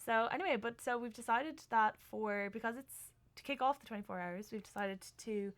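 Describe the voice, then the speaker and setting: A young woman from Dublin with a soft south Dublin accent, chatting as a student radio host, laughing easily and saying "yeah" a lot. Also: fairly high pitch, brisk, no room sound. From Dublin, Ireland. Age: young adult. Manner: chatty, laughs often, many "yeah"s and restarts. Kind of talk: casual chat.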